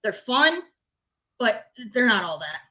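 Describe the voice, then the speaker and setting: A woman's voice: fairly high pitch, sing-song, slow, sharp tone. A woman speaking informally as a panelist on a gaming talk show, giving opinions in a blunt, emphatic way.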